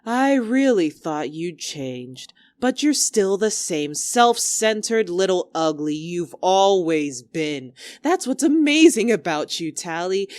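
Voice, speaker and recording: A woman's voice: lowish voice, darkish tone, no room sound. A woman playing a domineering, scornful young female squad leader in a dramatic argument, biting, sarcastic and commanding. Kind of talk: dramatic two-character argument performance.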